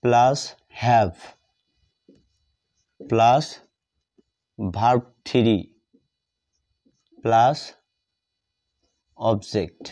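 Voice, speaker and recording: A man gives a lesson in a very slow, measured way, dictating and repeating phrases word by word. His voice is mid-pitched and somewhat mellow. The recording sounds muffled and lo-fi. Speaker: English grammar teacher. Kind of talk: step-by-step lesson, writing out examples. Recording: dull lo-fi sound.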